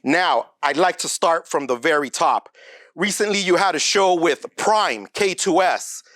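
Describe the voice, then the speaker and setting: Male host with a bright, sharp tone and a formal, announcer-like manner; dry, close studio sound. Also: a little high, lively intonation, a little slow, no echo. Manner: formal announcer-style introductions. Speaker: show host. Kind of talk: scripted intro and interview questions.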